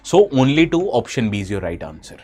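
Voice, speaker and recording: A man's voice: medium pitch, sing-song, fairly quick, darkish tone, husky. A man with an Indian English accent, who has a bad cold, gives a live exam-prep lecture and keeps asking his students questions. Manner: asks students questions, switches briefly into Hindi.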